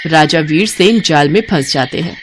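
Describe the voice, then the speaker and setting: A woman's voice: sits low, normal speed, noisy background. A woman narrating a children's moral tale as scripted storytelling.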